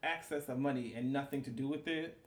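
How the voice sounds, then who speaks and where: A man's voice: medium pitch, a little fast, reverberant. A man in casual conversation, playful and laughing often as he riffs on everyday topics. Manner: playful, laughing, animated storytelling. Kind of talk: casual chat.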